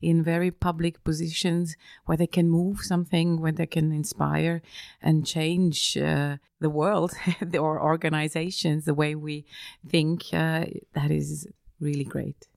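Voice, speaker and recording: A woman's voice: deep voice, level tone, unhurried, gravelly, darkish tone, no room sound, clean studio sound. A middle-aged woman from Austria, speaking as an interview guest in a casual conversation, hesitant with many fillers and restarts. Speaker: interview guest, business executive.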